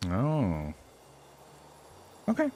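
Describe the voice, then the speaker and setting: A man's voice: medium pitch, huge pitch swings, very slow, background noise. A man hosting a casual reaction commentary, speaking informally and musing aloud. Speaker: reaction video host.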